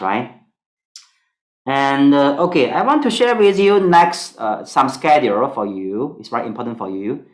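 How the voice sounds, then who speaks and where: A man with a Singaporean accent, whose first language is Mandarin, teaching an online stock analysis class in halting English full of fillers. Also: mid-range voice, reverberant.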